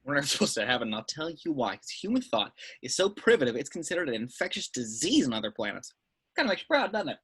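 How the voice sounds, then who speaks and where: A man reading the part of a brisk, dry-witted veteran secret agent in an amateur film script table read. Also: medium pitch, very animated, fairly bright tone, slightly rough. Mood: dry, matter-of-fact.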